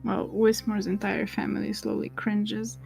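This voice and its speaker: A woman's voice: medium pitch, little pitch movement, unhurried, gravelly, darkish tone, faint music behind, rough recording. A woman whose first language is Romanian, chatting casually on a stream and teasing her co-host with frequent laughter. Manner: giggly, teasing.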